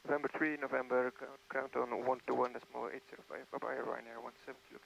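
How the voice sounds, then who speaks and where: Man, pilot, clipped readbacks, gravelly voice, aviation radio, heavy noise. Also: medium pitch, little pitch movement, fast. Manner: clipped, procedural phrasing. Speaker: airline pilot. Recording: very noisy clicking radio transmission.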